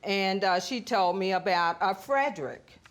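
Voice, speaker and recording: A woman's voice: medium pitch, measured pace. A woman giving conversational testimony as a plaintiff in a television courtroom. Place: TV courtroom studio.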